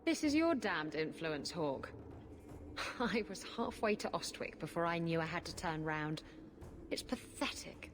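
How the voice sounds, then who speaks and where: A woman's voice: lowish voice, lively intonation, a little slow, background noise. An actress playing a wry, flippant roguish woman in a video game, with a teasing manner. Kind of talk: video game cutscene acting.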